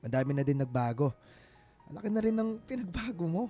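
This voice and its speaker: Radio drama casting: lovestruck Filipino ex, late 20s, lightish mellow voice, hesitant delivery. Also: male voice, on the high side, big pitch swings, quick pace. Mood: tender, curious. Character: earnest, smitten ex-boyfriend of twenty-nine, gently probing. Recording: music bed behind the voice.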